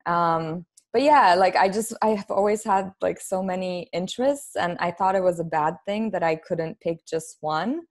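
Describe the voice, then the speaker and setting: A woman's voice: fairly low pitch, moderate pace, few pauses. A woman in her thirties from Poland, talking informally and ramblingly as an interview guest on a podcast. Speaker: podcast guest, human design expert. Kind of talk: casual interview chat. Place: plain room at home, on a video call.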